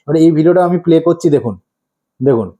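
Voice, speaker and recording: A man's voice: a little high, lively intonation, quick pace, warm tone, husky. A man hosting a step-by-step tutorial, instructive and repetitive, often prompting with rhetorical questions. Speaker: tutorial host.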